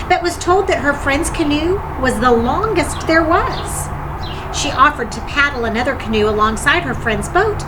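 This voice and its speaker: High-voiced woman storyteller, noisy recording. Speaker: storyteller, drama teacher. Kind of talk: expressive read-aloud storytelling.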